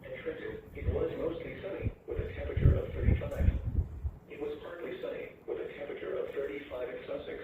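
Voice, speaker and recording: A synthetic American male voice reading a formal weather bulletin in an impersonal, measured way, heard through a radio. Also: medium pitch. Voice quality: synthetic text-to-speech voice. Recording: tinny weather radio, echoey, distant, faint noise.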